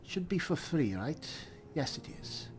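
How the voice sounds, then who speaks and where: A man's voice: fairly high pitch, very animated, a little slow, noisy background. A man roleplaying through a fantasy game in casual commentary, with dry, wry, offhand replies. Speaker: gamer roleplaying as an elf spellsword.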